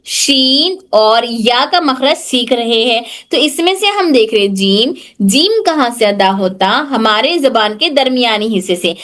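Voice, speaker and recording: A woman's voice: high voice, fairly bright tone. A woman teaching Tajweed pronunciation in an online class, patiently repeating points and checking that students follow.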